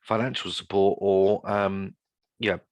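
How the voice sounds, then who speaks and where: A man's voice: low-pitched, flat delivery, a little slow, no echo, dull audio. A man giving an informal webinar presentation as a guest speaker, talking in a discursive way and often repeating his phrases.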